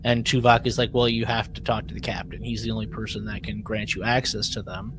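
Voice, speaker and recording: A man co-hosting a podcast in a casual recap style, haltingly repeating and rewording his phrases. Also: lowish voice, flat delivery, fairly bright tone, faint music behind. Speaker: podcast co-host.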